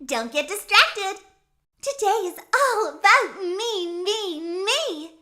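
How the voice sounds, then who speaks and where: A self-obsessed little animatronic starlet from a horror game. The voice is extremely high, childlike and bright, with lively swoops. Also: female voice, slow pace, full character voice. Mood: vain, excited. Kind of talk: video game character acting.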